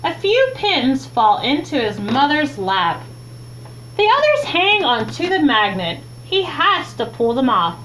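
A young adult woman reads a children's book aloud with expressive, deliberate phrasing, recorded in a small room at home on a webcam mic. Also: high voice. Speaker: teacher reading a children's book. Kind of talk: read-aloud storytime narration. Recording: clearly noisy, humming home recording.

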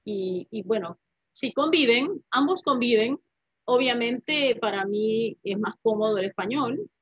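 A woman's voice: on the high side, lively intonation, unhurried, slightly rough, dry recording. A poet in her fifties, a woman, speaking thoughtfully on a video call from home, often ending phrases with a questioning tag. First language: Spanish. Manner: thoughtful, reflective, many "¿no?" tags. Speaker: poet and writer, guest. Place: home room with paintings. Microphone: laptop webcam mic on video call. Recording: dull dry video call audio.

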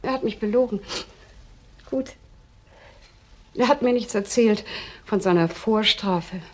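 An actress playing a proud, trusting wife in a crime drama, speaking in husky, halting, deliberate phrases. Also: female voice, medium pitch, close to an everyday voice. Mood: stunned, brooding. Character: proud, trusting wife defending her suspected husband. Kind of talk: German TV crime drama dialogue.

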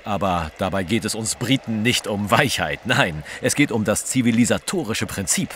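An actor playing a pompous, wordy British gentleman in an audio drama. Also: fairly low pitch, expressive, moderate pace, a little hiss or hum.

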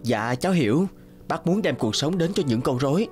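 A man's voice: fairly high pitch, lively intonation, normal speed, darkish tone, natural voice. A man as an earnest young scholar, music behind. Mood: encouraging. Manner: earnest, respectful. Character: eager, polite young male scholar, admiring and thoughtful.

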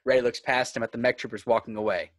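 A man's voice: medium pitch, fairly even intonation, quick pace, dry recording. A man reading screenplay stage directions as the narrator of a script table read.

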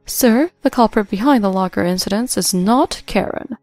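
A woman's voice: mid-range voice, normal speed, darkish tone, no echo, faint music behind, close to an everyday voice. A woman voicing a stern, commanding older woman who speaks with firm authority in a story.

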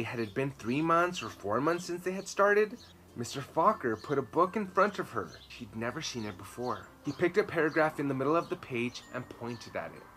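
A man in his thirties reads a children's book aloud at a middle pitch. He tells it expressively and leans on key words for drama. It is recorded outdoors in a garden, with birds and ambient noise behind him. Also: fairly bright tone. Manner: dramatic, with slow emphatic words and repeats. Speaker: children's storytime reader and host. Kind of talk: expressive read-aloud storytelling.

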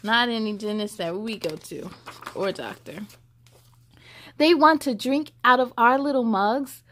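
A young woman hosting a children's story time, reading a picture book expressively with drawn-out emphasis and chatty asides. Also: fairly high pitch, a little hiss or hum. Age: young adult. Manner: animated, drawn-out emphasis on rhymes.

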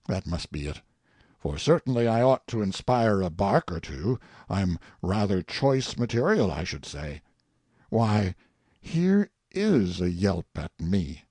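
A man's voice: lowish voice, a little mellow, breathy edge, no echo, exaggerated voice. A man voicing a haughty, self-pitying tyrant king who muses aloud with pompous sardonic scorn in an audiobook monologue. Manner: pompous, theatrical, sardonic musing.

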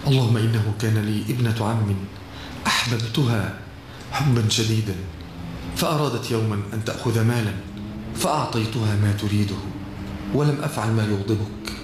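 A man's voice: lowish voice, brisk, very echoey, noisy background, close to an everyday voice. An actor voicing a bearded young man in a children's cartoon, praying humbly and from the heart. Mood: humble. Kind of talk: Islamic children's cartoon dialogue.

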